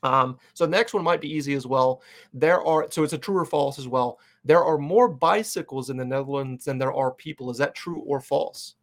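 A man's voice: medium pitch, moderate pace. A man hosting a sports fan podcast, chatting in an upbeat, loose way with plenty of fillers. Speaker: podcast host. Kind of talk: casual interview chat.

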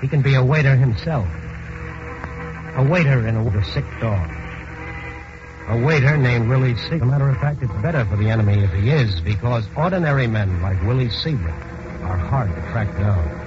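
A man narrating a 1940s radio drama from a script in a measured, emphatic way. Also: mid-range voice, lively intonation. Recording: dull old radio, loud music.

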